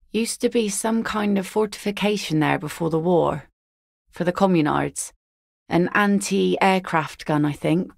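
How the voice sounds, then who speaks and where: A weary, working-class fisherwoman talks in a blunt, matter-of-fact way. Her voice sits a little low and has a gravelly rasp. The recording is close and dry, like a game studio booth. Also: no echo. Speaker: game character voice lines. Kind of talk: video game voice acting.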